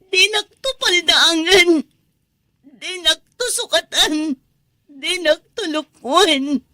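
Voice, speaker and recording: An actress playing a wronged, emotional young wife and mother in a radio drama, with a husky voice and an Ilocano accent. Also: very high-pitched, expressive, slow pace, pushed and bright, no echo, studio quality, exaggerated voice. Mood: tearful fury. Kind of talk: Filipino radio drama acting.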